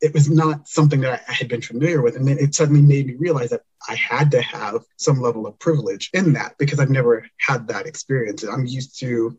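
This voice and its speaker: Husky, measured man with dull lo-fi sound. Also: mid-range voice. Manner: thoughtful, measured, trails off softly. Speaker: guest. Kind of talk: reflective conversation.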